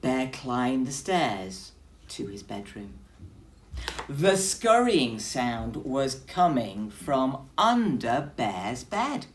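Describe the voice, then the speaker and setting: A woman's voice: extremely low pitch, big pitch swings, extremely slow, a little hiss or hum. A woman teacher reading a story aloud expressively, speaking directly to young children.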